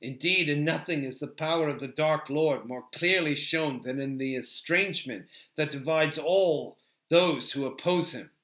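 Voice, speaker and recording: Man as a stern, bright-voiced elf sentinel. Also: on the high side, natural voice. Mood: grave. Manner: formal, measured, commanding. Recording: roomy, echoing sound.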